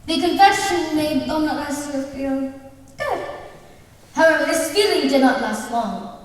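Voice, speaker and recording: Woman as slow, high-voiced eerie storyteller. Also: animated. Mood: dramatic, ominous. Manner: deliberate, dramatic, addresses the audience. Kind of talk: theatrical scripted narration. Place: indoor theatre stage. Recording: echoey theatre stage, distant mic, music behind. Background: music.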